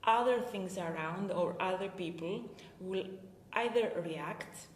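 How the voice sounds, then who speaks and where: A woman's voice: lowish voice, a little hiss or hum. A Greek woman speaking English with a Greek accent, a performance coach talking live to her viewers, hesitant with many filler sounds. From Greece. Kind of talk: live-stream talk to viewers. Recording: echoey room with long reverb.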